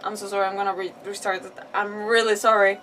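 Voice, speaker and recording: A woman's voice: mid-range voice, flat delivery, fast, fairly bright tone, distant mic, slight background noise. A woman game streamer chatting casually on a livestream, scattered and exclamatory, often repeating words.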